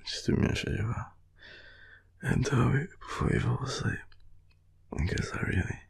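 A tired young Australian man murmuring an affectionate, rambling boyfriend roleplay in a deep, gravelly, breathy voice. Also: expressive, moderate pace. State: tired, sleepy. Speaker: boyfriend roleplay audio performer. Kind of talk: intimate sleepy roleplay, ASMR-like rambling.

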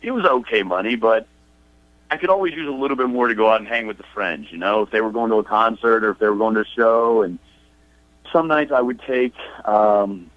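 A man's voice: on the low side, fairly quick, dry recording. Young man on a dull phone line. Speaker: caller to a radio show. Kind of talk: casual confessional chat. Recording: dull phone call, dry.

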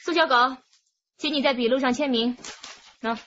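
Radio drama: brisk young policewoman, high, sharp voice, no-nonsense delivery. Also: muffled recording, a little hiss or hum. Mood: curt. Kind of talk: Chinese crime radio drama acting.

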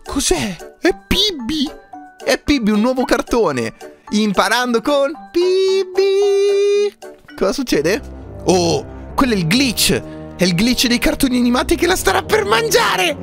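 A young man from Italy giving playful, excitable gameplay commentary, exclaiming often and talking to his viewers. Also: very high voice, measured pace, vocal fry, soft background music. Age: young adult. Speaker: gaming YouTuber, host.

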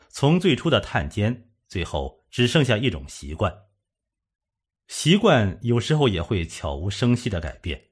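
A man's voice: mid-range voice, husky. A man narrating a suspense audiobook in a dramatic, solemn storytelling manner.

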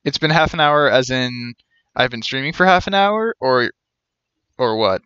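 Young man, casual game stream chatter, trailing-off rambles, bright tone, dull dry recording. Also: medium pitch, animated, moderate pace, clean tone, no echo.

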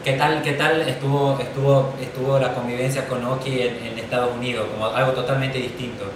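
A man's voice: medium pitch, little pitch movement, a little fast, distant mic. A young man with a Tucumán Argentine accent, playfully asking casual interview questions. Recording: echoey room, off-mic, noisy.

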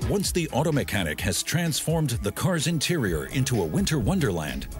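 A man reading scripted documentary voice-over in a measured, informative way. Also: medium pitch, very animated. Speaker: documentary narrator. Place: studio voice-over. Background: background music.

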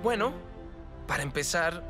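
A man's voice: on the high side, lively intonation, unhurried. A man with a Latin American accent playing an earnest, determined and curious teenage boy hero in a cartoon dub. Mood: pensive, determined. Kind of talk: animated series dub acting. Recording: music behind.

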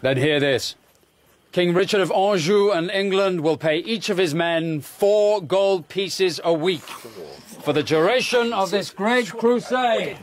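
A man's voice: high-pitched, measured pace. Bold crusader king over background noise. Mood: regal, pointed. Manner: regal, assertive, declamatory. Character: bold, devout crusader king, confident and ambitious. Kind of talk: historical radio drama acting.

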